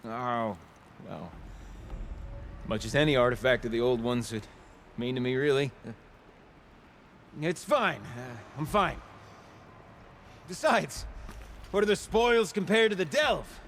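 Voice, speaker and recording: A man's voice: mid-range voice, animated, takes it slowly, long pauses, a bit bright, a little hiss or hum. An actor playing an exuberant, grandiose male ruin delver who talks like a rambling storyteller in a video game cutscene. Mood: crestfallen. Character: exuberant, theatrical male adventurer who delves ruins for relics.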